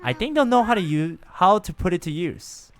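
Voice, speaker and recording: A man's voice: high voice, animated, moderate pace. A man streaming a game, chatting casually and rambling to his viewers. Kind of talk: casual gameplay chat.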